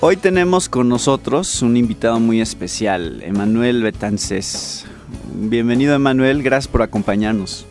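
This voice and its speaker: Male radio host, conversational interview delivery, average pitch, light music bed underneath. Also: lively intonation, normal speed, a little mellow.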